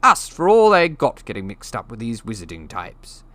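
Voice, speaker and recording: A man's voice: medium pitch, wildly swooping pitch, moderate pace, fairly bright tone, no room sound. A male reader acts out a spiteful middle-aged uncle from a storybook. He blusters like a bully, snarling with open contempt for whoever he addresses. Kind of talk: audiobook character voice.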